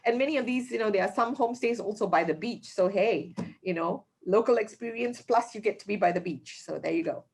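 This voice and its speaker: A woman's voice: mid-range voice, fairly quick, darkish tone. A woman from Malaysia answering travel questions in a webinar, talking chattily with many fillers and restarts. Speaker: tourism guest speaker.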